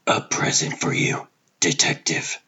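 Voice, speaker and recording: A man voicing a gruff, calm and wry vigilante superhero in a comic book dub, with a husky, hushed rasp and terse delivery. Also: highs cut off. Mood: dry, taunting. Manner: terse, dry, deliberate.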